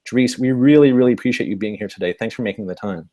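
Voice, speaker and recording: A man's voice: fairly low pitch, fast, warm tone, dull audio. Man hosting an interview. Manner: friendly, introduces guest formally. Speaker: interviewer, host. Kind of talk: hosted interview.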